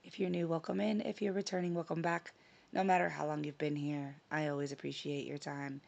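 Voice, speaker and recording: A woman hosting her own vlog in casual chat, a little hoarse from a scratchy throat, talking with frequent ums and self-corrections. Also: low-pitched, flat delivery, fairly quick, darkish tone, highs cut off. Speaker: channel host. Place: her home in Sicily.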